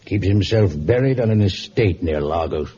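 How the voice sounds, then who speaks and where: A man playing a measured, reflective, gentlemanly judge in a vintage radio drama. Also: deep voice, expressive. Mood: somber, reluctant. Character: genial, measured male judge with somber reticence. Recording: muffled, hissy old radio recording.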